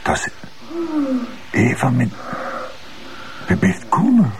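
An actor playing a defensive, evasive husband under police questioning in a TV crime drama, halting and guarded. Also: male voice, mid-range voice, huge pitch swings, heavily acted. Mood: shaken. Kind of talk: naturalistic TV crime drama acting. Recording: clear background noise.

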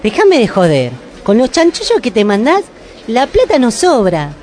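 A woman's voice: a little high, huge pitch swings, normal speed, a little mellow, voice pushed up. Testy argentine wife, woman acting, voice all up and down, sardonic, radio comedy. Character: snappy wife of a stingy businessman.

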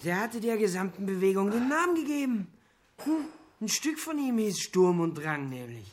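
Blunt, lively investigator. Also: female voice, medium pitch, moderate pace. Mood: scornful. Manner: colloquial, blunt. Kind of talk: naturalistic radio drama dialogue.

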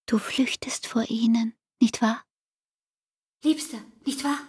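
An actress playing a weary, pleading young woman in love in a German radio drama, intimate and breathy, slipping into whispers. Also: fairly high pitch, fairly even intonation, takes it slowly, a little mellow.

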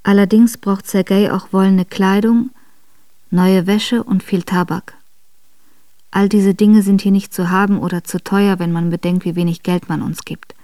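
A woman playing a devoted young exiled noblewoman in a radio drama, reading her letters in a measured, intimate and formal way. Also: mid-range voice, steady tone, dark tone, dry recording, lightly acted. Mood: composed, pleading.

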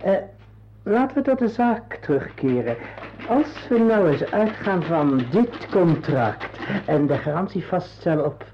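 Slick domineering boss, higher-pitched male voice, lively intonation, pushy and curt, radio drama, dull old recording. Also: unhurried, noisy background. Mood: smooth, persuasive. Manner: domineering, curtly barks orders, smooth-talking when persuading. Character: bossy slick male script-agency boss, pushy and menacing. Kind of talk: radio play acting.

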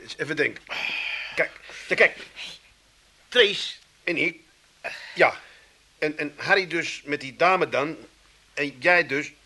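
Flustered middle-aged son, piercing voice. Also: male voice, a little high, slow, long pauses, pushed and bright, heavily acted. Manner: flustered, repetitive, grumbling. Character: dithering, placating middle-aged son, owner of bike shed. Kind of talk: comic radio play acting.

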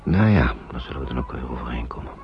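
A gentle, worried uncle guarding children in wartime. His voice is low and he speaks patiently and reassuringly. It is an old radio play, dull in tone with clear noise behind it. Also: animated, quick pace. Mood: worried, musing. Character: kindly worried uncle shepherding refugee children through wartime. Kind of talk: radio drama acting.

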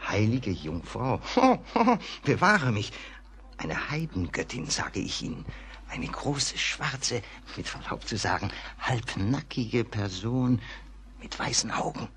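An actor playing a superstitious, gossipy rural servant in a German radio play, dramatic and exclaiming. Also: male voice, mid-range voice, sing-song, takes it slowly, a bit bright, slight background noise. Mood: ominous, indignant.

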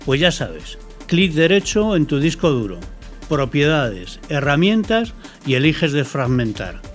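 A man's voice: on the high side, big pitch swings, unhurried, soft background music. A man with an accent from Spain co-hosting and explaining things in a scripted, didactic but conversational way. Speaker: co-host commentator, explainer.

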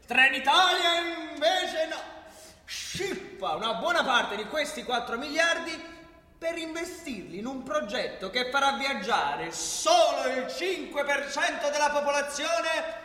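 A man's voice: very high voice, very animated, unhurried, bright, forceful tone. A man narrating a polemical radio play in an emphatic, declamatory, theatrical style. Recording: echoey hall with music.

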